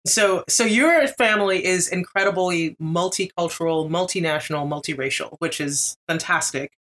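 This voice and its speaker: Friendly host, mid pitch, lots of quick yeahs and right rights. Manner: warm, encouraging questions with quick affirmations. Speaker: podcast host. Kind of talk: casual interview.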